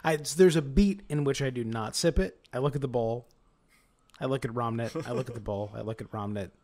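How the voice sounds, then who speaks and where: A man's voice: mid-range voice, a little fast, a little mellow. A man playing a tabletop game, casually narrating his actions in a wry, self-correcting way with repeated phrases. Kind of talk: casual improvised roleplay narration.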